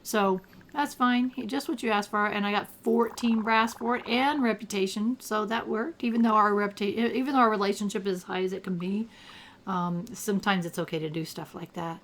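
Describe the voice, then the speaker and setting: Older woman with a gravelly voice. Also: mid-range voice, fairly even intonation, brisk, a bit bright, slight background noise. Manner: chatty, thinks aloud, cheers at the game. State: rough throat from allergies. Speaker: gameplay commentator. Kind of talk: casual gameplay commentary.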